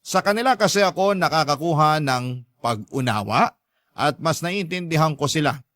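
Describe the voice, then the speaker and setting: A man's voice: on the high side, expressive, normal speed, a bit bright, no room sound, clean studio sound. A man narrating a dramatic first-person story in a confiding, earnest manner.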